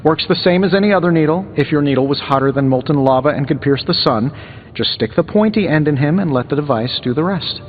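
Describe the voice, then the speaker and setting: A man's voice: on the high side, lively intonation, fast talker, dull audio, close to an everyday voice. Male actor as wisecracking genius hero, glib, sarcastic, protective, video game dialogue, long reverb, music behind. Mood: breezy, sardonic. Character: wisecracking genius superhero inventor, sardonic but protective.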